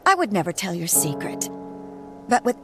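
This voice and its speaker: Actress as a sincere, hesitant woman, medium pitch. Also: big pitch swings, normal speed, natural voice. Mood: tender, hurt. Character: sincere, hesitant woman in love with secretive man. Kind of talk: dramatic dialogue in audio drama. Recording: music under the dialogue.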